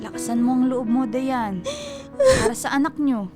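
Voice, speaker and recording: Woman acting a high-voiced, fussing protective best friend. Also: normal speed, over music, close to an everyday voice. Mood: firm, comforting. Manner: animated, exclaiming, scolding concern. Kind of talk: radio drama acting.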